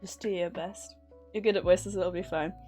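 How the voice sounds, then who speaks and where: A woman's voice: lowish voice, fairly even intonation, moderate pace, warm tone, soft background music. A woman hosting a livestream, chatting casually in a nervous, giggly way. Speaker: livestream host. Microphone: streaming microphone at a desk.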